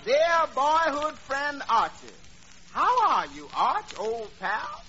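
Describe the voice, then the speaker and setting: A man's voice: very high voice, huge pitch swings, slow, vocal fry, heavily acted. An actor playing a wry tavern employee who needles his boss with dry, sarcastic comebacks in an old radio comedy. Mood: reading aloud. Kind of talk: 1940s radio comedy acting. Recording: dull old radio recording, faint noise.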